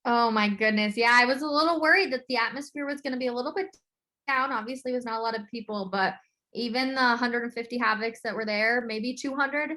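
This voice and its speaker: American female sports podcast host, slightly high voice, chatty game-by-game recap style. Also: brisk, bright, forceful tone.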